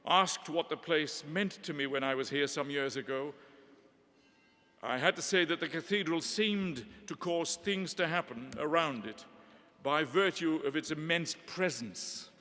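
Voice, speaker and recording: A middle-aged man from Northeast England giving a measured, eloquent formal address with gentle humor, recorded in a large hall with long reverb. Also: fairly high pitch. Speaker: ceremony speaker.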